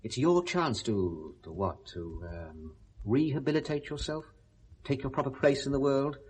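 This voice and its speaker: A middle-aged man with a British accent acting an urbane, persuasive businessman in a 1970s radio drama, speaking briskly and frankly. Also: mid-range voice, animated, slightly rough. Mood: persuasive, pressing. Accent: British English.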